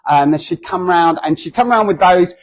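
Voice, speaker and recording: A man's voice: high voice, normal speed. A man in his forties with a southern English accent, giving a humorous, self-deprecating talk at a church and acting out voices in his stories. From southern England. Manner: chatty and self-deprecating, acts out voices in anecdotes. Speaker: guest speaker.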